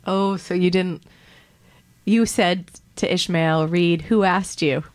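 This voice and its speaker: A woman's voice: on the low side, steady tone, slow pace, no echo. A woman hosting a radio interview, asking short questions and laughing along with her guest. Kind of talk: interview and program host.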